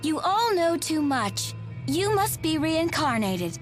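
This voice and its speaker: A woman's voice: high-pitched, very animated, slow pace, faint music behind, exaggerated voice. A woman acting a cruel, mocking enforcer in a video game, coldly polite, with formal and condescending phrasing. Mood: coldly imperious.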